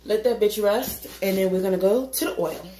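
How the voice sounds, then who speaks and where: A woman's voice: medium pitch, normal speed, mellow, reverberant, a little hiss or hum, poor audio. A tired woman of Haitian family chatting casually and joking while she cooks late at night in her home kitchen, swearing now and then. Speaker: home cook vlogger. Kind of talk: casual cooking vlog chat.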